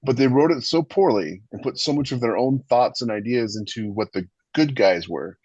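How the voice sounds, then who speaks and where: A man with a husky voice explaining his views on a podcast in a casual but emphatic, opinionated way. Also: medium pitch, animated, normal speed, a little mellow. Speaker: podcast host and author. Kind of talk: casual writing discussion. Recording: roomy, slightly dull lo-fi microphone.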